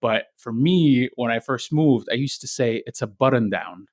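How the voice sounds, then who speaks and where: Young man, from Egypt, podcast guest, soft friendly voice, mid pitch, dry recording. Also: animated, normal speed, no room sound. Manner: soft-spoken, friendly, many ums and uhs. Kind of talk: casual interview.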